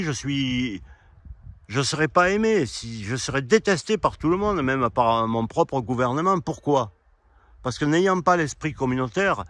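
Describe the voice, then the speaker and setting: A man giving a rambling, argumentative opinion monologue, speaking directly to his listeners in an indignant way and halting to search for words. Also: medium pitch, gravelly, fairly bright tone, no room sound. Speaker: amateur video commentator. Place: quiet spot outdoors.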